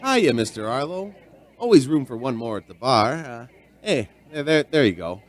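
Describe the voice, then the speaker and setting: A man's voice: mid-range voice, very animated, moderate pace, lightly acted. A man playing a chatty, folksy bartender who tells his strange tale in a conversational radio drama style. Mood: friendly, curious. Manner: conversational, storytelling. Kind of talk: audio drama narration and dialogue.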